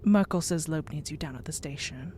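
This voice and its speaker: An actress playing a caring, teasing and gently bossy young wife in an audio drama. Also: female voice, low voice, a little fast, a little hiss or hum, lightly acted. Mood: concerned. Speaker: voice actor.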